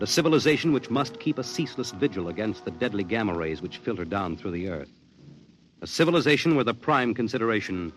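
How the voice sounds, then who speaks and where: A man's voice: low-pitched, low-quality recording. A man delivering scripted, declamatory narration as the announcer of a 1950s radio drama. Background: music.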